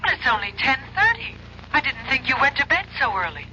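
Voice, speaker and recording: Worried sweetheart, bright lively voice, pleading, radio drama, dull recording with faint noise. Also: female voice, high voice, fairly quick, full character voice. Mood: tender, concerned. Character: concerned, conflicted sweetheart of a troubled man. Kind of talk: 1970s radio drama acting.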